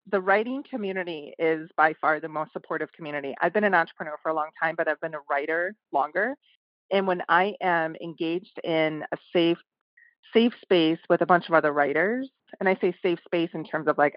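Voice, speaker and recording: A woman's voice: low-pitched, no echo, highs cut off. A woman who runs a publishing house, speaking as a podcast guest in a thoughtful, conversational way with occasional fillers. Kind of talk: casual podcast interview.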